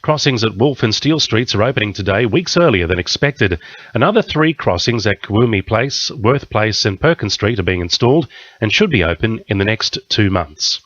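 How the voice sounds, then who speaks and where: Low-voiced Australian man reading news. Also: expressive, normal speed, fairly bright tone, dry recording, lo-fi sound. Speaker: news reader. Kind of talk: scripted radio news bulletin.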